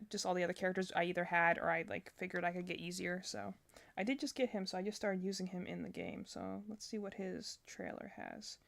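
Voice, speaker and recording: A woman's voice: medium pitch, gravelly. A woman livestreamer reacting to game trailers in casual chat, rambling and thinking aloud.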